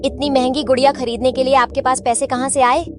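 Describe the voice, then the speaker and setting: Woman playing a high-voiced, earnest farmer's wife. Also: level tone, quick pace, music in the background, lightly acted. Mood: reproving. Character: anxious, principled farmer's wife, gently scolding. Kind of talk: Hindi cartoon moral-story acting.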